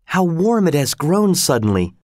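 A man voicing a young Hawaiian man, bewildered and curious, with a high, rising, wondering voice. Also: lively intonation, a little slow, a little mellow, no room sound, clean studio sound.

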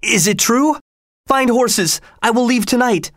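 A man's voice: very high-pitched, a little slow, a bit bright, no echo, studio quality, exaggerated voice. A man reading aloud, voicing an impulsive young lover who talks with urgency. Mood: urgent. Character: young male lover, passionate and rash.

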